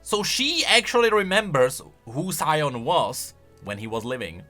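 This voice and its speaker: A man gives off-the-cuff game commentary in a piercingly bright, fairly high voice. He is excitable and emphatic, with lively swings in intonation. Music plays faintly behind him. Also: unhurried. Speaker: host, game lore commentator.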